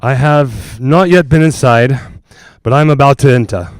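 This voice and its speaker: Actor as clear-voiced, formal ghost-hunting doctor. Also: male voice, medium pitch, no echo, natural voice. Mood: cautious, intrigued. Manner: formal, measured, deliberate. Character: earnest, formal doctor investigating a haunted house. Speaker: investigator. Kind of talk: 1940s-style radio drama, reporting in.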